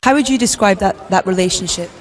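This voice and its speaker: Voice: medium pitch, background noise. An interviewer asking upbeat questions on a red carpet. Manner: quick, upbeat presenter questions. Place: outdoor red carpet event.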